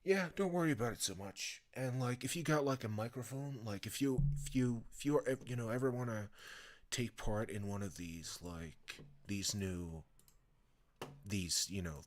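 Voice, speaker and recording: A man chatting casually to viewers on a live stream, rambling and halting with lots of fillers and restarts, sounding tired. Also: fairly low pitch.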